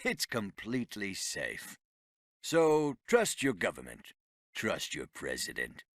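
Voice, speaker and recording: A man's voice: on the low side, wildly swooping pitch, slow, a bit bright, no echo, studio quality, exaggerated voice. A man acting a smug, folksy American president in a solemn, reassuring speech for a video game. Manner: solemn, oratorical, falsely reassuring. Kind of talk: parody 1950s political speech.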